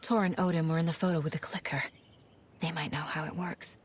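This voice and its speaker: Determined, low-voiced woman federal agent acted out. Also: fairly even intonation, brisk, noisy background, lightly acted. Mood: hushed resolve. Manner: urgent, stubborn. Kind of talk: naturalistic video game cutscene acting.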